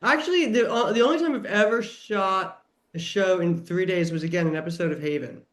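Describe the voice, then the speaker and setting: A woman's voice: on the low side, brisk. A woman chatting casually on a podcast, enthusiastic and emphatic, with a conversational manner full of "like". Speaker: guest TV writer and producer.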